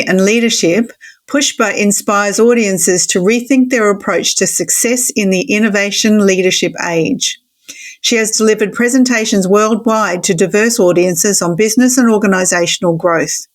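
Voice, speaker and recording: A woman co-hosting a podcast, reading a guest introduction in a polished, measured way. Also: mid-range voice. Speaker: podcast co-host.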